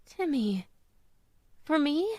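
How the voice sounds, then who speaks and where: A woman's voice: high voice, big pitch swings, takes it slowly, mellow, dry recording, heavily acted. A woman playing a sincere, tender mother in an old-time radio drama, speaking earnestly and emotionally. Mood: loving, surprised. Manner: earnest, emotional, hesitant restarts.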